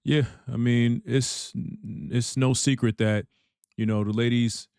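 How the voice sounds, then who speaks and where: A man with a deep voice hosting a reaction channel, chatting casually and filling his talk with "you know what I'm saying.". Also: fairly even intonation, slow pace, creaky, a little mellow, smooth.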